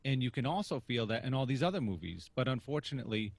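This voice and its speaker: A man's voice: medium pitch, very animated, quick pace, creaky, no room sound. A man casually discussing films as a podcast reviewer, opinionated and emphatic, with some casual swearing.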